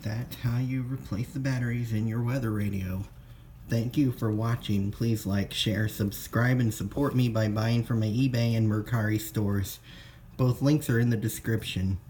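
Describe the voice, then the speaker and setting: A man presenting a casual step-by-step product demonstration in a halting, plain-spoken way. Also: on the low side, fairly even intonation, warm tone. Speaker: presenter of a how-to video. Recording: clear background noise with clicks.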